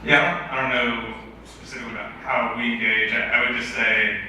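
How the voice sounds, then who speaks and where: A man's voice: mid-range voice, little pitch movement, fairly quick, sharp tone. A man speaking on a housing panel, hesitant, with many fillers as he reasons through his points. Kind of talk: panel discussion. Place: event hall with audience. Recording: echoey hall, distant mic, background noise.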